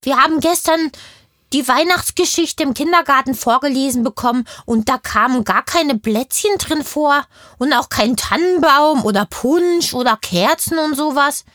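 Little kindergarten girl played by a woman for children's audio play: high voice, curious, cheeky, chatty, close dry sound. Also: moderate pace, fairly bright tone, dry recording. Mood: puzzled. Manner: childlike slang, emphatic, cheeky.

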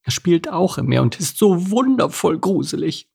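Man doing a high storybook lady crayfish, sing-song, gravelly, husky. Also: very animated, moderate pace, darkish tone, heavily acted. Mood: dreamy. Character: dreamy motherly female crayfish, indignant.

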